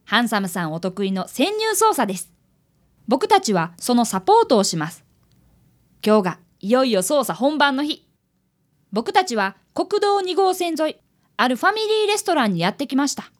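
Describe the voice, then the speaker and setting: Woman voicing an earnest young schoolboy, high-pitched and lively. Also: normal speed. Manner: polite, explanatory. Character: earnest fifth-grade boy, apprentice detective and narrator. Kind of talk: radio drama narration and dialogue.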